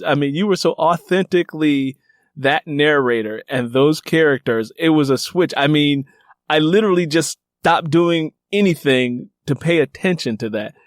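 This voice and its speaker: A man's voice: fairly high pitch, dry recording, clean studio sound. A man hosting a book interview podcast, talking conversationally and reflectively, often repeating and rephrasing for emphasis.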